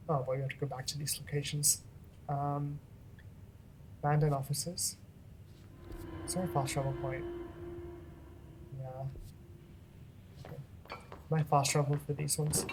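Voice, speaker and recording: Let's-play host, casual rambling commentary, mid pitch, faint background hiss. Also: a little slow, pauses often. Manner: relaxed, rambling, frequent ums and laughs.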